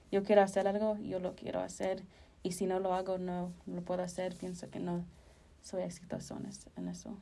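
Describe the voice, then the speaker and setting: Young female podcast co-host, flat intonation, soft dark tone, casual and self-correcting. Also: fairly low pitch. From Chicago, United States. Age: early 20s.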